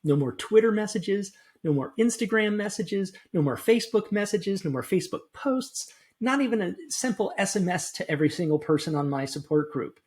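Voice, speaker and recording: A woman's voice: mid-range voice, animated, brisk, darkish tone. A woman reading a scripted first-person sponsor message for a podcast.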